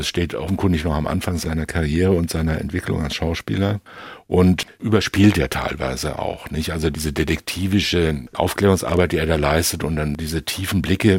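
Guy with a really low husky voice, explaining stuff carefully on a talk show, dry close sound. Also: no echo. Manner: measured, precise, explanatory with legal terms. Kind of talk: analytical panel discussion.

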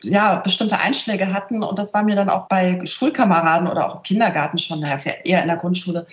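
A woman's voice: on the low side, steady tone, fast. A middle-aged woman from northern Germany, a translator speaking as an interview guest, in a thoughtful, searching way with frequent little tag questions. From northern Germany, near Celle. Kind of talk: reflective interview talk. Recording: roomy, slightly dull remote audio.

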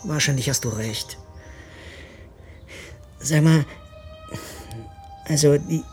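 An actor playing a troubled, sceptical husband and father in an intimate radio drama, with a husky voice. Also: on the high side, slow, darkish tone, soft background music.

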